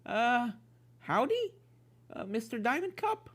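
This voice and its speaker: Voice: mid-range voice, big pitch swings, takes it slowly, fairly bright tone, voice pushed up, heavily acted. A narrator voicing a nervous, timid young pony filly in a fan fiction story reading, speaking politely and hesitantly. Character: Apple Bloom, nervous young filly ghost hunter.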